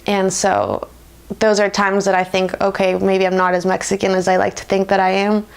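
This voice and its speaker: Young American woman with a creaky voice. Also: medium pitch, steady tone, moderate pace. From United States. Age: 20s. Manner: informal, often laughs while talking. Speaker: interviewee. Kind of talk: reflective, conversational personal interview.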